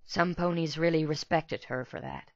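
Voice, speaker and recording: Man voicing a soft, high, earnest young pegasus mare. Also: steady tone, normal speed, vocal fry, no room sound, muffled recording. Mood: regretful.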